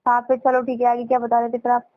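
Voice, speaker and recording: A woman's voice: a little high, monotone, quick pace. A young woman on a muffled phone call, arguing back with challenging questions. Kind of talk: argumentative private phone conversation.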